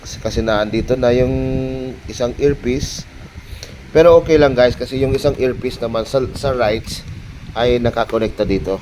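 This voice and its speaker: A Filipino man giving a casual, halting vlog-style product show-and-tell, mixing Tagalog and English. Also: mid-range voice, a little mellow, a little hiss or hum, poor audio. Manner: halting, code-switching between Tagalog and English. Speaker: motovlogger.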